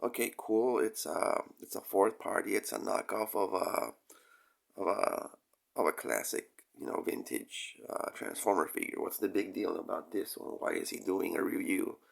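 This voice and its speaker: A man getting over a cold, with a crackly voice, hosting a casual toy review in a hesitant, rambling way with frequent pauses. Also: low voice. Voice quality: crackly, gravelly. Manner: hesitant, rambling, pausing often, frequent throat clearing. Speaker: toy review channel host. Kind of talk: casual product review talk.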